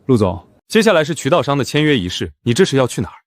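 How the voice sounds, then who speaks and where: An actor playing a shrewd, imperious business executive in an animated drama, formal and cutting in manner. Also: male voice, mid-range voice, rapid-fire, background noise, lightly acted. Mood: smooth, probing. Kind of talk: animated short drama acting.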